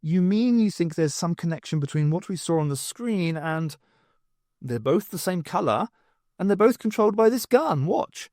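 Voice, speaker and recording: A man voicing an excitable, exasperated physics professor in an audiobook, lecturing emphatically. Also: high voice, moderate pace, dry recording, studio quality, voice pushed up. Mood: incredulous, urgent. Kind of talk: audiobook character dialogue.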